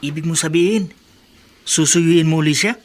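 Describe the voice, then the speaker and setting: A man's voice: fairly high pitch, moderate pace, lightly acted. A man playing a teasing, jokey young best friend in a radio drama. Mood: teasing, amused. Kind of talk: Tagalog radio drama acting.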